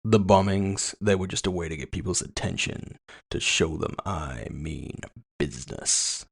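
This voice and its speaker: A male narrator's take on a theatrical cyberpunk villain in audio fiction. The voice sinks very low into a hollow, gravelly murmur. It moves slowly, with mocking courtesy and gloating menace. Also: exaggerated voice. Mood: smug, gloating. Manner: slow, mockingly courteous, boastful. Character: gloating, theatrical masked male villain with mind-control powers.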